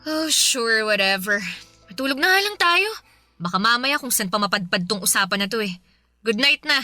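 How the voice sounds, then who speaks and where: A young Filipino girlfriend in a radio drama, fond of luxuries and quick to get testy. Her voice is high and bright. Her lines swing widely in pitch as she moves through playful, testy talk. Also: lively intonation, soft background music. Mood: defensive, sulky.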